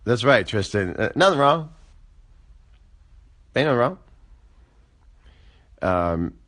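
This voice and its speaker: A man of forty chatting casually on a phone livestream, musing aloud with wry, deadpan asides. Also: mid-range voice, slow pace. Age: 40s. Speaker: livestream host.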